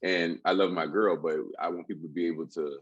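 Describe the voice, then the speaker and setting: Deep husky man, rapid rambling talk. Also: fairly even intonation, no room sound. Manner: rambling storyteller with many restarts. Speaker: rapper guest. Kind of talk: casual interview chat. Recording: dull dry lo-fi sound.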